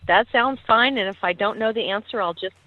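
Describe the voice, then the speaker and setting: A woman's voice: mid-range voice, a little fast, no echo. Emphatic woman on a tinny phone line. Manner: emphatic and opinionated, with restarts. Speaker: guest, actress and activist. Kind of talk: phone-in interview.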